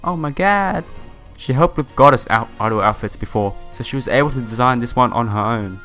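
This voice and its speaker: A man's voice: mid-range voice, a little fast, fairly bright tone. A man doing casual, informal playthrough commentary, reading story text aloud and talking to his viewers. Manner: informal, addresses viewers directly. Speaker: let's play host. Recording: music playing behind the voice. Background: game music.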